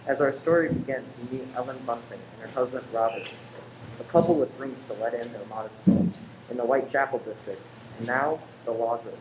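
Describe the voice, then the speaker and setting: A man gives scripted narration for an old-time radio play. His voice sits in the middle range, in a roomy space with clear background noise. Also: medium pitch, a little fast.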